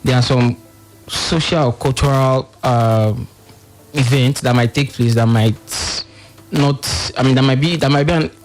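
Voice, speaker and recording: Male panel guest, Nigerian accent, mid-range voice, hesitant explanatory delivery, faint music bed. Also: mid-range voice. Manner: hesitant, halting, searching for words. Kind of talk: radio panel discussion.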